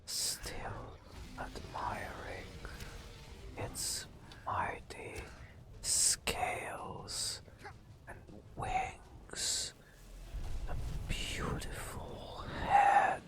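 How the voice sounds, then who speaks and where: A man softly narrating a fantasy game as ASMR, in a breathy whisper with slow, dramatic storytelling and long pauses.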